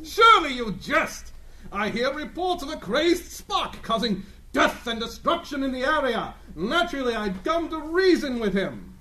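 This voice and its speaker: A man playing a pompous, self-adoring gentleman adventurer, declaiming floridly in a melodramatic comedy radio play. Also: very high-pitched, sing-song, moderate pace, a little hiss or hum, exaggerated voice. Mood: smug.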